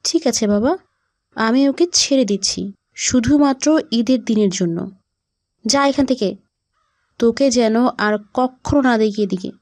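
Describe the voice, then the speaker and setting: Woman acting a stern, highish-voiced scolding auntie. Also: normal speed, long pauses, darkish tone, no room sound, lightly acted. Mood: stern, grudging. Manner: curt, scolding. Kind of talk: dramatic cartoon voice acting.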